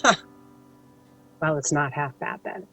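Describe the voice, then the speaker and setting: A woman's voice: deep voice, measured pace, sharp tone, faint music behind. A woman playing a writer's teasing, candid wife in a conversational audio drama, with a dry manner.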